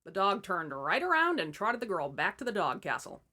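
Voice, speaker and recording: A woman's voice: medium pitch, sing-song, moderate pace, pushed and bright. A woman hosting and narrating fairy tales as scripted comedy, with wry, irreverent asides. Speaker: host and storyteller.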